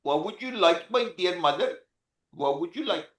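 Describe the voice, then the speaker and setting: A man's voice: sits high, expressive, normal speed. A man with an Indian accent playing a grown son who humors his vain mother, hesitant and stammering. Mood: dutiful. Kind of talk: improvised comedy scene. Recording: roomy echo.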